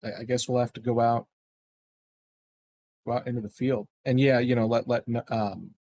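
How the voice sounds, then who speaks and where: A man with an average-pitched voice plays a tabletop roleplaying game. He talks casually and a bit tentatively, working out his plans aloud. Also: level tone, moderate pace, warm tone, no room sound.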